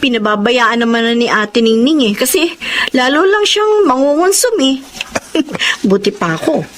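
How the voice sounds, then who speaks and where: A woman's voice: high-pitched, expressive, moderate pace, a bit bright, slight background noise. A woman playing a sweet, contented young wife who chats and tells her story in a Filipino radio drama. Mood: contented, affectionate.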